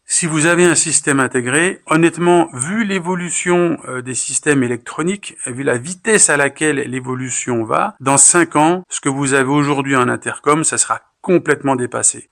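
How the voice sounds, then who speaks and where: A man's voice: medium pitch, bright, forceful tone. A man presenting motorcycle gear to viewers in an informal, didactic way, posing questions and answering them himself in blunt, colloquial words. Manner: conversational, didactic, asking and answering own questions. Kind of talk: informal explainer talk to viewers.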